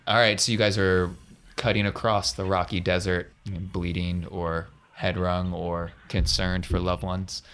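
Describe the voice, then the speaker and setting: Low-voiced man narrating a tabletop game. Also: level tone, measured pace, fairly bright tone, slight background noise. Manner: descriptive, easygoing, conversational asides. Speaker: game master. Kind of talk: narrating a tabletop space adventure, casual.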